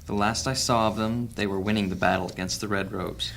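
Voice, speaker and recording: An actor playing a calm, commanding leader of thieves in a fantasy audio drama, measured and authoritative. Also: male voice, fairly low pitch, creaky, a little hiss or hum.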